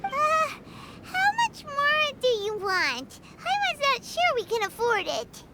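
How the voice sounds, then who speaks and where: A woman voicing a tiny, excitable fairy companion girl in a video game, with a squeaky childlike voice and a cutesy, exclamatory manner. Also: slow pace, heavy background noise, full character voice. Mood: uneasy. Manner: cutesy, exclamatory, refers to self by name. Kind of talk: English video game voice acting.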